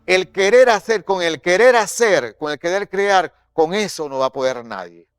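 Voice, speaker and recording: A man's voice: sits high, animated, moderate pace, clear, resonant tone, dry recording, studio quality. A man in his sixties with a Maracaibo Venezuelan accent, an actor and director speaking emphatically and with passion in an interview, often repeating phrases for effect. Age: 60s. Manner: impassioned, emphatic, with repetitions for effect. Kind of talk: personal interview testimony.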